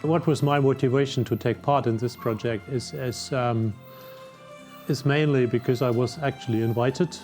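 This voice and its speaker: A man's voice: mid-range voice, fairly even intonation, moderate pace, darkish tone. German man in his 60s, veteran diver, hesitant non-native English, faint music behind. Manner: hesitant, many fillers, slightly non-native grammar. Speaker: wreck diver and underwater photographer. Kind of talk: interview answers.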